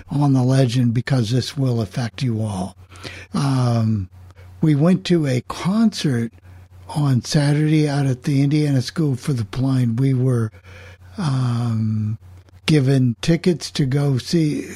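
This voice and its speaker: A man's voice: medium pitch, mellow, husky, no echo. A man hosting a casual club show, rambling conversationally and repeating words for emphasis. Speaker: host. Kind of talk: casual opening monologue of a call-in club show.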